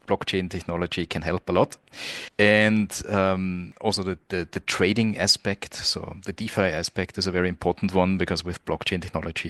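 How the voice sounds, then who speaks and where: A middle-aged man from Austria speaks with a very low voice. He explains things in a relaxed, unhurried way, full of fillers and repeated words. The sound is dry and close. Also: no room sound. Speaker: guest, tech company founder. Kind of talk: podcast interview.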